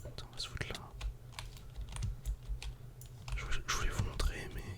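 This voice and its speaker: Man whispering softly, ASMR gaming style. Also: normal speed. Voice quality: breathy, unvoiced whisper. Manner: casual, soft-spoken, addressing the viewer directly. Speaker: ASMR gaming video creator. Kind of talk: whispered ASMR game commentary.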